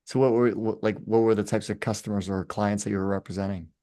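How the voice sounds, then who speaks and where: Podcast host, man, lower pitch, easy conversational questions. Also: little pitch movement, quick pace, warm tone. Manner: conversational, curious. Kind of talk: interview questions.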